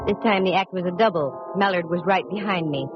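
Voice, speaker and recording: An actress playing a sharp-witted, wisecracking female private detective in a vintage radio drama, wry and no-nonsense. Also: on the low side, fast, over music, close to an everyday voice. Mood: wry narrating. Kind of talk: 1940s-50s radio detective drama acting and narration. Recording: muffled, noisy vintage radio recording.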